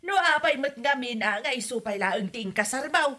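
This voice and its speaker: Woman doing a naggy mother-in-law, high sharp voice, scolding and fed up, comedy drama style. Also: expressive, normal speed, full character voice. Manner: scolding, exaggerated exasperation. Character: nagging, complaining mother-in-law scolding her grown son. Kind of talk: comedy radio drama acting.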